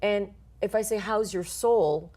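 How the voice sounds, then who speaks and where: A middle-aged woman with an American accent, explaining self-help ideas as an interview guest in an animated, informal, emphatic way with playful asides. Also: mid-range voice, measured pace. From born in Portugal, grew up in the United States. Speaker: interview guest, author and life coach.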